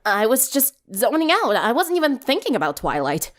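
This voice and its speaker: A woman's voice: fairly high pitch, big pitch swings, normal speed, voice pushed up. A woman voicing a stubborn, nonchalant teenage schoolgirl turning vampire in a story reading. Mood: defensive. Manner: nonchalant, brushing off worries.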